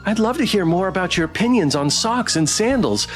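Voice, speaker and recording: Brief: male game streamer, theatrical read-aloud, fairly high voice, faint music behind. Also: expressive, fairly quick. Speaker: streamer playing and voicing a visual novel. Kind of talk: playthrough read-aloud and commentary.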